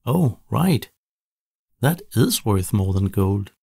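A man's voice: on the low side, very animated, takes it slowly, gravelly, a little mellow, slightly rough, no echo, studio quality, heavily acted. A man voicing a small, anxious robot sidekick in a storybook, skeptical but kindly and fretful.

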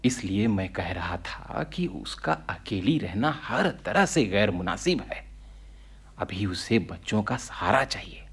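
An actor playing a practical, dismissive middle-aged elder brother in a radio drama, with a husky, rough voice. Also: male voice, fairly low pitch, sing-song, slow, fairly bright tone, heavily acted. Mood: weary, grave. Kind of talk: Hindi radio play acting.

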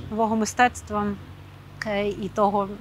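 A woman's voice: mid-range voice, little pitch movement, normal speed, slight background noise. A woman, an arts program director, answering thoughtfully with frequent hesitations. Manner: thoughtful, hesitant, many filler sounds. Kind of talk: interview answers. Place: rooftop outdoors, then indoor hall.

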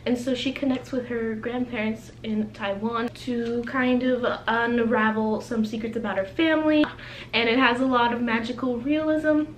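A young adult woman talking casually and conversationally to viewers as a book vlogger. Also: a little high. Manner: informal, conversational. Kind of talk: casual chatty video blog. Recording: roomy echo, faint background noise.

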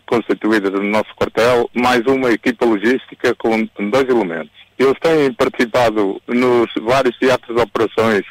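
A man with a European Portuguese accent giving a statement to radio as a fire commander, halting and repeating words. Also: medium pitch, no echo, poor audio. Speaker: fire brigade commander being interviewed. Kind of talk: spoken statement to a radio reporter.

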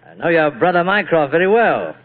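An actor playing a genial, flowery old British stage actor in a 1940s radio drama, affable and polite, with a British accent. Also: male voice, on the high side, big pitch swings, brisk. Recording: muffled, narrow-band old radio recording.

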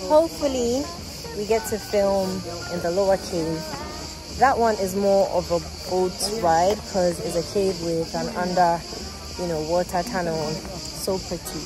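A woman's voice: lowish voice, unhurried, poor audio. A woman in her twenties chatting casually to camera as a vlogger, recorded outdoors on a handheld camera at arm's length. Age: 20s. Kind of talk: casual vlog chat to camera. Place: outdoors, streets and tourist sites. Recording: music over the voice.

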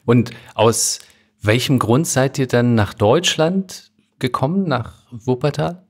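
A man's voice: mid-range voice, animated, slow, slightly rough. A man hosting a podcast, reading a scripted intro then interviewing his guest in a polite, formal, carefully phrased way. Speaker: podcast host.

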